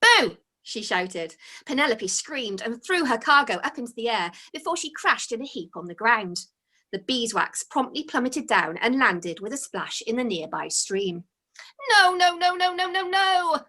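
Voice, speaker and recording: A woman reading her own children's story aloud as its author, in an expressive storytelling style. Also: on the high side, moderate pace, slightly rough.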